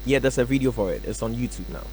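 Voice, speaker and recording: A young man from Ghana, a musician answering radio interview questions in a relaxed, soft-spoken way with many fillers. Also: medium pitch, fast talker, faint music behind, low-quality recording. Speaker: musician guest. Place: radio studio.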